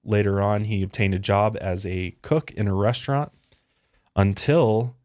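A man with a fairly low voice reads through a true-crime case on a podcast. His delivery is relaxed, with fillers and quick asides. The studio mic sounds dry and close, with no room behind him. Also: no echo. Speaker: podcast co-host. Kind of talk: casual true-crime podcast chat, reading out case facts.

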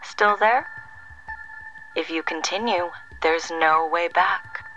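A woman's voice: fairly low pitch, takes it slowly, sharp tone, dull audio, soft background music. A woman acting as the cool, commanding, secretive voice on a shadowy agency's answering machine in an audio drama. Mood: cool, menacing. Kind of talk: scripted phone message acting.

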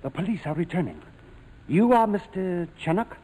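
An actor playing a fussy, distressed hotel manager in 1940s radio drama style, officious and flustered. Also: male voice, high-pitched, animated, moderate pace, dark tone, breathy edge. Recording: noisy, reverberant vintage radio recording.

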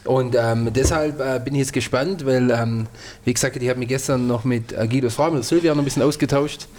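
A male cook co-hosting a podcast explains his plans eagerly in casual chat. He talks fast, with an ordinary mid-range voice and frequent fillers. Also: mid-range voice, fairly even intonation, a little mellow.

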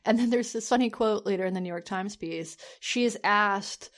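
A woman's voice: medium pitch, moderate pace. A woman co-hosting a podcast in casual chat, rambling with many fillers and restarts. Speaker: podcast co-host.

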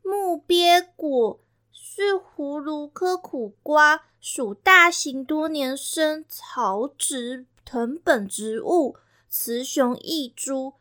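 Radio-drama schoolgirl, about ten: tiny, very high, bright voice, eager and proud, unhurried delivery. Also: heavily acted. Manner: childlike, chatty, eager. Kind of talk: amateur radio-drama acting.

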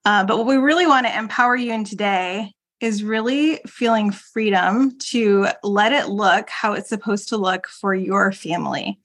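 A woman's voice: medium pitch, normal speed, hardly any pauses, a bit bright. A woman co-hosting a podcast in a casual chat, talking freely with fillers, restarts and frequent laughs. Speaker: podcast co-host.

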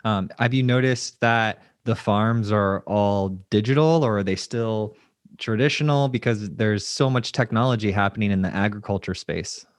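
A man's voice: fairly low pitch, moderate pace, no room sound. A man hosting a podcast, opening the show and chatting casually with an upbeat manner. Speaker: podcast host. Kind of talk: podcast interview.

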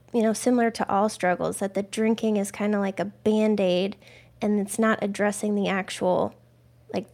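A woman's voice: mid-range voice, darkish tone. A woman hosting an interview, chatting openly and conversationally and sharing her own experience. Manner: open, conversational, shares her own recovery story. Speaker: interview host. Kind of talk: casual interview chat.

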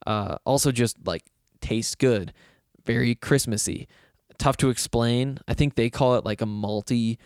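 Slightly raspy young man, dry close sound. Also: mid-range voice, measured pace, no echo. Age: young adult. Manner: loose, self-deprecating, meandering with fillers. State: hoarse from yelling. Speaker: sports podcast host. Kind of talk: rambling casual podcast chat.

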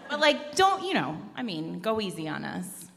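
A woman's voice: on the low side, wildly swooping pitch, normal speed, vocal fry, a crowd in the background. A woman chatting playfully as co-host of a live podcast, telling things in a casual, joking way. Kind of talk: casual comic chat before a live audience. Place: indoor hall at a live podcast event. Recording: echoey hall, live event.

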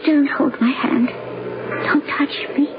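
An actress playing a sorrowful, guarded young island princess in a radio drama, halting and formal. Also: female voice, high-pitched, fairly even intonation. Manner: halting, formal, uncontracted phrasing. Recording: muffled, very noisy old radio broadcast.

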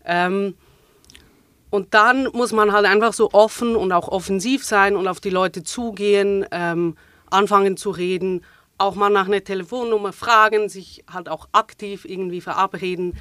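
A middle-aged woman with a Swiss German accent, a guest in a relaxed interview, talking with many fillers and restarts. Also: medium pitch, moderate pace, no room sound, clean studio sound. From Switzerland. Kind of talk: casual interview conversation.

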